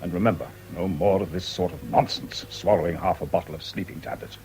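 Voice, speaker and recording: Very deep-voiced, dry paternal doctor. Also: male voice, fairly even intonation, quick pace, darkish tone, a little hiss or hum, poor audio. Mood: gently scolding. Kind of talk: radio drama acting.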